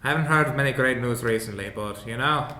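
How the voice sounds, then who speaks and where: Man acting as awkward dwarf inventor, polite, halting, chatty, tabletop game, bright forceful voice, echoey room. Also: medium pitch, lightly acted. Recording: roomy echo, clear background noise.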